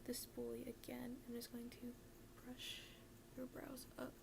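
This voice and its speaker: A woman whispering in a breathy, hushed, halting way, doing an ASMR roleplay as a gloomy, deadpan emo best friend. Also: a little high, background noise. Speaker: ASMR video creator.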